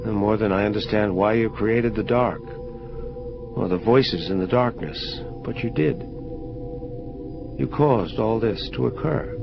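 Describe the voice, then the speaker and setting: Troubled angel of vengeance: low, solemn, formal, muffled lo-fi sound under loud music. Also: male voice, vocal fry. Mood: bewildered, accusing. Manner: solemn, formal, measured speech. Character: grave, troubled male angel of vengeance confronting his creator. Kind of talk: dramatic audio play dialogue. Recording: dull lo-fi audio under music. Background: prominent atmospheric music.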